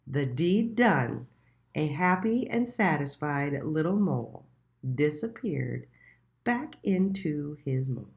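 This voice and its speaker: A woman reading a children's storybook aloud in a deliberate, expressive storytelling manner. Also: low-pitched, creaky. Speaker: children's book reader.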